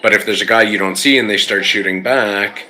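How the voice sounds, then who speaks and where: A man's voice: lowish voice, fairly quick, sharp tone. A man speaking informally and slangily in a casual podcast discussion. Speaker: podcast panelist.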